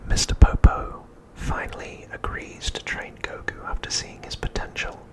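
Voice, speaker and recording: A man whispering an ASMR narration in a soft, unhurried way, with deliberate phrasing and pauses. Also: poor audio. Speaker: host of an ASMR channel.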